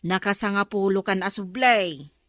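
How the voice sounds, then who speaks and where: A nosy village shopkeeper played by a woman who chats and teases in a comedy audio drama. Her voice sits at an ordinary pitch, with a close, dry sound. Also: normal speed, fairly bright tone, no echo, close to an everyday voice. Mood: nosy, teasing. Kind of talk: comedy radio drama acting.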